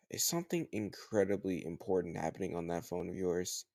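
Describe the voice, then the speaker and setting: A man playing a teasing, slightly older home tutor who gently chides the listener in a conversational, intimate roleplay audio drama. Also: low voice, normal speed, gravelly, dry recording, clean studio sound. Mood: teasing, coaxing.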